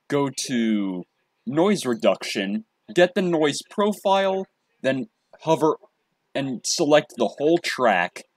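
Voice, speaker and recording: A man presenting a casual software tutorial, talking in a halting way and often repeating his phrases. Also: a little high, no room sound. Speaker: tutorial presenter and hobby voice actor.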